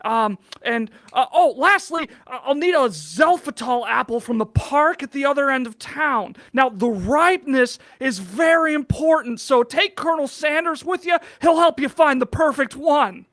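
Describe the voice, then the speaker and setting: Friendly dwarf king played by a woman, high voice, chatty and offbeat, very dry close sound. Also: lively intonation, normal speed, few pauses, a bit bright, dry recording. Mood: chatty, rambling. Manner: rambling, casual slangy hesitations. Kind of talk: improvised tabletop roleplay.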